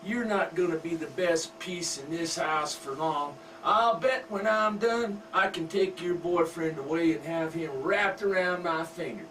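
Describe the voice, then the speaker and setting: A man voicing a crude, boastful middle-aged country wife with a loud, brassy drawl. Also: a little high, measured pace, hardly any pauses, pitched above the performer's own voice. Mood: gloating. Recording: distant mic with faint hum.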